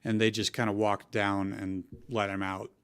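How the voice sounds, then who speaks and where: A man's voice: on the low side, little pitch movement, moderate pace, a bit bright, clean tone, no echo. A man chatting casually as a co-host, recalling things in a loose, hesitant way. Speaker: co-host and reader on a fan-fiction show. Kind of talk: casual chat.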